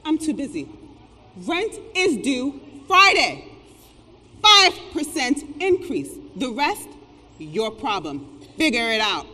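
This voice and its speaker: A woman's voice: high voice, big pitch swings, sharp tone, exaggerated voice. A woman playing a haughty, uncaring corporate rent collector in a stage play, curt and dismissive. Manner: slow, deliberate, curt, dismissive. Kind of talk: theatrical stage-play acting. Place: theatre stage, picked up in the hall. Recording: reverberant stage hall.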